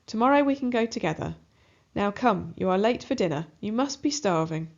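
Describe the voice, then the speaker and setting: A woman's voice: mid-range voice, animated, brisk, lo-fi sound, lightly acted. A woman voicing a caring, concerned mother rat in a children's storybook. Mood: concerned, motherly.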